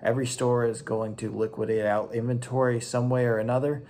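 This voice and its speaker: A man's voice: medium pitch, little pitch movement, brisk, dark tone. A young man chatting informally and in slangy terms, like a vlogger, recorded on a camera at home. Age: young adult. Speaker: online reseller and vlogger. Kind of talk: casual vlog chat. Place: indoors at home, small room. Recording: clearly noisy home recording.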